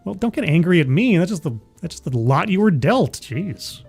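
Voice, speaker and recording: A man's voice: high-pitched, very animated, moderate pace, a little mellow, slightly rough, faint music behind. A man in his forties hosting a livestream, chatting casually with viewers and laughing at himself. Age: 40s. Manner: rambling, self-deprecating, laughs often. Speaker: livestream host. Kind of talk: casual chat with live chat.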